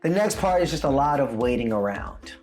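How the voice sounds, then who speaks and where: A man tells a loose, animated story on a casual show, swearing freely and acting out what people said. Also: medium pitch, fairly quick, faint music behind. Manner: animated, loose, swears a lot, acts out quotes. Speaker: co-host telling a story.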